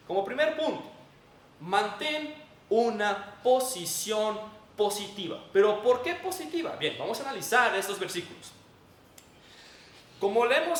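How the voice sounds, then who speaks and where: Mexican guy preaching, pretty high voice, echoey church room w faint noise. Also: fairly bright tone, distant mic. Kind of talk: sermon with Bible reading and prayer.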